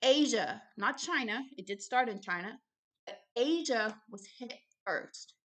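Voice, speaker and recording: A woman with a fairly high voice delivers unscripted religious teaching. She is emphatic and didactic, breaking her thoughts into short phrases. Key words come back again and again as she drives each point home. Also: animated, a little slow, fairly bright tone. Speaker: religious teacher and show host. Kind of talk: extemporaneous Bible teaching and book commentary.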